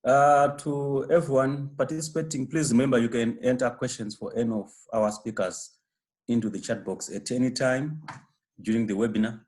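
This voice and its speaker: Male moderator, courteous formal speaker intros, mid pitch. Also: a little mellow. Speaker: webinar chair.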